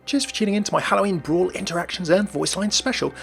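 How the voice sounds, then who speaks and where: A man's voice: high-pitched, fast talker, vocal fry, fairly bright tone. A man hosting a gaming video with chatty, upbeat scripted commentary. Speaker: gaming channel host.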